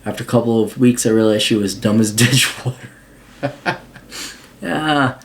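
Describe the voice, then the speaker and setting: A man's voice: medium pitch, a little slow. Blunt, swearing American man. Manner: blunt, swears often, mocking voices for asides. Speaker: podcast host answering a listener's letter. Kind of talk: casual profane advice monologue.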